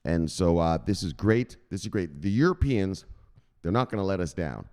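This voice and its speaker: A man with a lowish voice hosts a crypto news commentary and rants through the news in a profane, emphatic way. His pitch swings widely as he punches his points. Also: expressive, normal speed, dark tone, smooth. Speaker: crypto news channel host.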